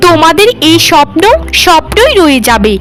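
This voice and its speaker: High-pitched bossy young sister-in-law, played by a woman. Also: sing-song, moderate pace, fairly bright tone, full character voice. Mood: angry threatening. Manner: demanding, scolding, accusatory. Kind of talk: village moral-story cartoon acting. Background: background music.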